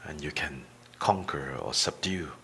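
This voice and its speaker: A man teaching a guided meditation, speaking deliberately in short phrases with long pauses between them. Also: a bass voice, expressive, fairly bright tone, slight background noise.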